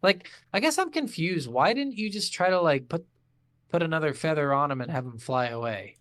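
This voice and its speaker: Blunt, profane man, slightly higher-pitched, dry sound. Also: lively intonation, a little fast, a bit bright, no room sound. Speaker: podcast co-host reviewing video games. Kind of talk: casual unscripted chat recapping a game.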